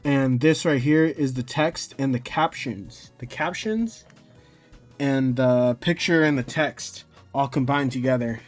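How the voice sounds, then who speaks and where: A man's voice: mid-range voice, a little slow, faint music behind. Casual, hesitant man giving a tutorial. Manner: hesitant, rambling, self-correcting. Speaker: tutorial host. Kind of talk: casual screen-recorded software tutorial.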